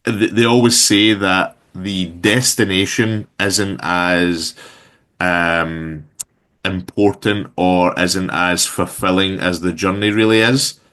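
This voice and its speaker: A man in his thirties with a Glaswegian Scottish accent talks as a guest on an interview. His voice sits very low. He rambles easily and fills his pauses with ums and uhs. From Scotland. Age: 30s. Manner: relaxed, rambling with many fillers. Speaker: guest entrepreneur. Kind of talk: casual interview chat.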